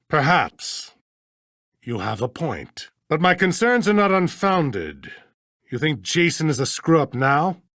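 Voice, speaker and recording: A weary, authoritative judge from a video game. The delivery is formal and deliberate, with lively pitch movement. The recording is close and dry. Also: female voice, no room sound, full character voice. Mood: reluctant, troubled. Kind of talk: scripted video game voice acting.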